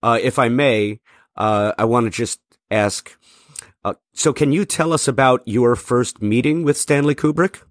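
A man's voice: medium pitch, a little slow, no echo, studio quality. A man hosting a podcast interview, asking questions in a polite, formal way. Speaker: podcast host.

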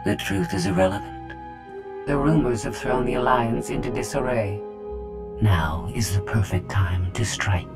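A low, unemotional schemer reporting to his ruler in a sci-fi game a man acting, measured, calculating, clear score behind. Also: loud music behind the voice, lightly acted. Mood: coldly calculating. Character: cold calculating male villain advisor, composed.

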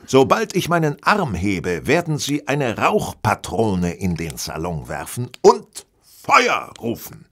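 Ein Schauspieler spielt in einem Hörspiel einen selbstsicheren, berechnenden Detektiv, der bestimmt und belehrend spricht. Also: male voice, fairly low pitch, wildly swooping pitch, a bit bright, husky, full character voice. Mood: self-assured. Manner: self-assured, deliberate, instructive. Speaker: lead actor in an audio drama. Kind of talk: German audio drama dialogue.

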